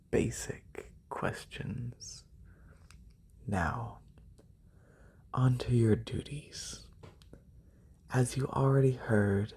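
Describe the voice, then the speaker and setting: A man playing a haughty, demanding lamia noble in a soft-spoken ASMR roleplay, formal and condescending, with a breathy, husky voice close to a binaural microphone. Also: on the low side, heavily acted. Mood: condescending, businesslike. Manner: condescending, formal, drawn-out delivery. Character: haughty wealthy lamia noble, demanding serpent-bodied employer.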